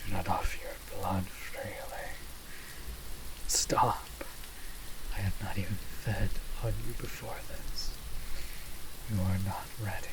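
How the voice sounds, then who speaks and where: A man playing a weakened, brooding vampire master in an audio drama, halting and breathy, often slipping into whispers. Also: sits low. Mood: alarmed refusal. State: weak, strained, sighing. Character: weakened, brooding male vampire master, protective yet hungry. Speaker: voice actor. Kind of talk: dramatic roleplay audio acting. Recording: steady rain noise over the voice. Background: rain.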